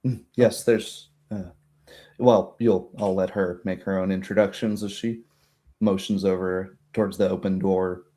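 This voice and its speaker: Slow, mellow, low-voiced man running a game. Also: steady tone. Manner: hesitant, halting delivery. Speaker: game master. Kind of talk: improvised tabletop game narration.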